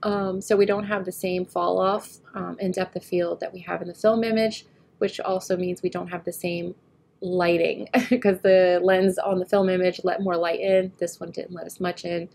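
Woman, slightly nasal, recovering from a cold, gravelly fry, faint background hiss, casual tutorial talk. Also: on the low side, flat delivery, moderate pace. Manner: thinks aloud while working, often trailing off quietly. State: getting over a head cold. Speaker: photo editing instructor. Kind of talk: screen-recorded editing tutorial.

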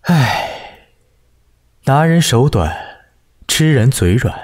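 Man acting a weary, lively-pitched household steward. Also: very slow, noisy background. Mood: wry, sighing. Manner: polite, evasive, wry. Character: weary, self-deprecating ex-general turned drinking steward. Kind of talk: ancient-costume audio drama acting.

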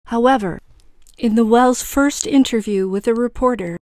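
A woman's voice: fairly high pitch, normal speed, a little mellow, no room sound. A woman delivering scripted true-crime commentary in a pointed, questioning manner.

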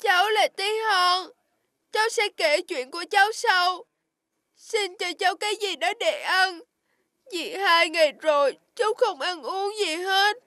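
A woman voicing a tiny, polite and brave little boy in an animated fairy tale. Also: very high voice, unhurried, no room sound, studio quality, heavily acted.

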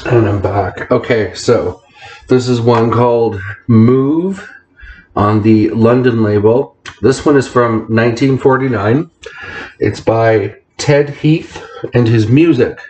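A man's voice: mid-range voice, slow pace, darkish tone, slight background noise, low-quality recording. A man in his thirties chatting casually to viewers as a vlog host, recorded on a camera mic in a home kitchen.

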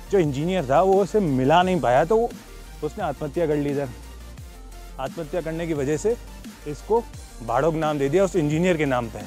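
A man's voice: fairly high pitch, a little mellow. A man in his thirties talks to the camera outdoors at a mountain railway station, explaining things to his viewers. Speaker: travel vlogger. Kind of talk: on-camera vlog talk.

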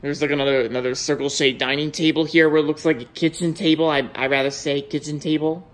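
A man's voice: fairly high pitch, fairly even intonation, quick pace, slight background noise. Young man rambling through a house tour. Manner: rambling, with many repeated words and restarts. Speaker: vlogger giving a house tour. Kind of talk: casual house tour narration. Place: indoors in a house, walking from room to room. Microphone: handheld phone camera held by the speaker, off screen.

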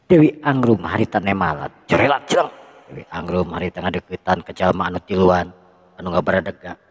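A man narrating a folk tale with a Sundanese accent, lively and dramatic. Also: deep voice, a little fast, breathy edge, in a large hall. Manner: lively, with shouted sound effects. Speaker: storyteller.

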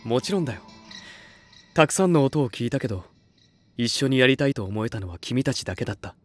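An actor playing an earnest, gentle music label head in an anime voice drama, speaking sincerely and encouragingly. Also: male voice, medium pitch, lively intonation, quick pace, no room sound, natural voice. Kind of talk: Japanese anime voice drama acting.

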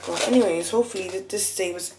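A woman's voice: mid-range voice, fast, roomy sound, background noise. A young woman in her twenties, tired and chatty, talking to her phone at home in a rambling, laughing vlog. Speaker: vlogger. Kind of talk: casual vlog chat to camera. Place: indoors at home, bedroom. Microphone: phone held at arm's length.

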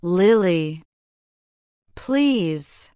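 A woman reading a gentle grieving grandmother. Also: low voice, fairly even intonation, slow, no echo, studio quality, pitched below the performer's own voice. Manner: soft, gentle speech.

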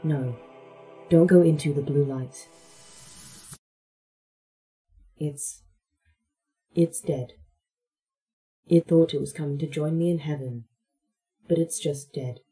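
A woman's voice: low-pitched, steady tone, measured pace, long pauses, mellow, faint music behind, low-quality recording. A woman playing a brooding, godlike artificial intelligence who speaks in grand, theatrical phrases in an audio drama. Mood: grieving. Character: brooding godlike A.I. ruling tiny ant subjects.

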